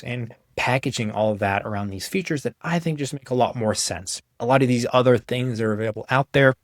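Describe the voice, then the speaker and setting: A man's voice: medium pitch, no room sound. Casual young man, dry close sound. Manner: informal, conversational. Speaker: app developer presenting his own software. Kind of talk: casual screencast walkthrough.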